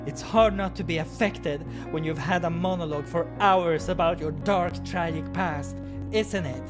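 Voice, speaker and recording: A man's voice: on the high side, normal speed, music in the background, voice pushed up, lightly acted. A man voicing an indignant, sassy, easily flustered male cat in a visual novel, scolding and exasperated.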